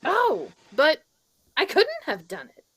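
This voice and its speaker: A woman's voice: high-pitched, huge pitch swings, takes it slowly, fairly bright tone, heavily acted. A woman playing a flustered, defensive female murder suspect in an old-time radio mystery, with an indignant, exaggerated period delivery.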